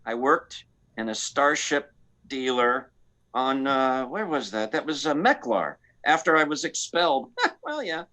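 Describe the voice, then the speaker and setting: A man playing a cocky, free-spirited space pilot in a comic radio play, rambling and repeating himself in halting bursts. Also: medium pitch, sing-song, pushed and bright. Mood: boastful, rambling.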